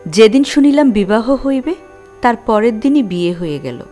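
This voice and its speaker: A naive young bride from the village, played by a woman in an audio drama. She speaks shyly and plaintively in a fairly high voice, with faint music behind her. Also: animated, normal speed, mellow, natural voice. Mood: shy, teasing. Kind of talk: Bengali audio drama acting.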